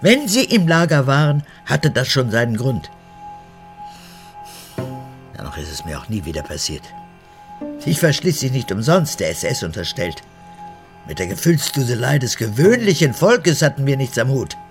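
An actress in a radio drama playing a cold, proud old mother and unrepentant former prison guard, haughty and emphatic, with halting phrases. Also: rumbling low, very animated, soft background music, full character voice. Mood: cold, reminiscing. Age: older. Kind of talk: German radio drama acting.

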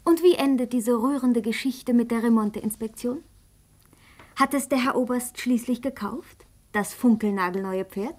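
An actress playing a sharp, wounded, imperious young woman with a cutting, reproachful manner in a German radio drama. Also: a little high, normal speed, natural voice. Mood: sarcastic reproach.

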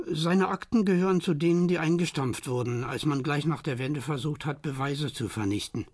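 A man's voice: on the high side, moderate pace, husky, dry recording. A man playing a matter-of-fact archive clerk who explains things in radio drama dialogue. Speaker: archive official.

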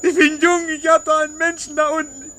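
An actor playing a young pilot in peril in an old radio drama, with halting, repeated exclamations. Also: male voice, very high-pitched, flat delivery, pushed and bright. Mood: desperate, terrified. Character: young pilot in peril, euphoric then desperate. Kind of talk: 1950s German radio play acting. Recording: noisy vintage radio, engine drone.

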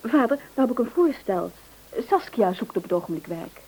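A woman's voice: on the high side, very animated, moderate pace, a little mellow, low-quality recording. A woman playing a dutiful, eager grown-up daughter in a radio play, chatty and polite with some hesitancy. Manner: chatty, polite, hesitant when asking favours. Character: Annie, dutiful, eager married adult daughter.

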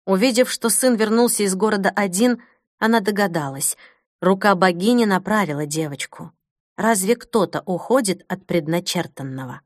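A woman narrating a fantasy audiobook in a measured, expressive storytelling manner. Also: medium pitch, dry recording, studio quality. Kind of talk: scripted dramatic narration of fantasy fiction.

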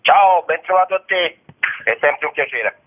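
A man's voice: a little high, lively intonation, normal speed. Man on a thin, tinny phone line. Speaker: festival artistic director, interview guest. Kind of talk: promotional radio interview.

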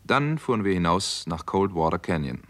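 The doctor hero of a radio crime drama, with a very low voice. He speaks tersely and with control, as a sober detective-story narrator would. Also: sing-song, moderate pace, fairly bright tone. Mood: sober narration.

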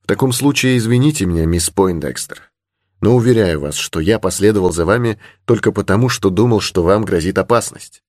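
A man's voice: fairly low pitch, brisk, darkish tone, no echo, studio quality, close to an everyday voice. A man voicing a courteous, cautious young horseman protecting a lady in an adventure audiobook. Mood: disappointed, earnest. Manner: courteous, earnest. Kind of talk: audiobook character in adventure novel.

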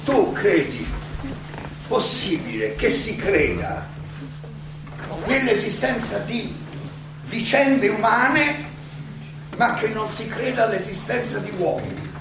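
A man's voice: high voice, big pitch swings, exaggerated voice. A male stage actor playing an elderly, ironic and indignant Athenian philosopher who argues his own defense in a theatrical, insistently questioning monologue. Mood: pressing, questioning. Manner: rhetorical, halting then insistent, questioning. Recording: echoey, distant, noisy live stage recording.

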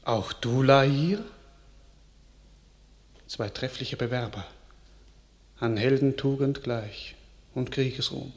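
Actor voicing a gracious king, stately, slow, measured verse, radio drama. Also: mid-range voice, close to an everyday voice. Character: grateful, gracious young king.

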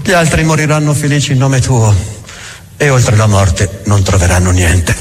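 Un inquisitore grave e solenne, voce bassa. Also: male voice, a little hiss or hum. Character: grave, defiant old Grand Inquisitor, proud and bitter. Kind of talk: dramatic stage monologue for radio. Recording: music running under the voice. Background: music.

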